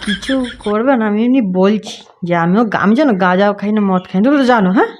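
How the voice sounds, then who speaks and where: A man's voice: sits high, fast talker. A young man talks casually, as a host making a prank call. He is teasing and a bit hesitant. There is faint noise in the recording. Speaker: prank caller and video host.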